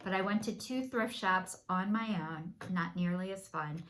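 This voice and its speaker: A woman's voice: on the low side, moderate pace, a bit bright. A woman, chatting candidly and self-deprecatingly to camera from her home. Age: 50s. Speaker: vlogger. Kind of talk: casual chat to camera.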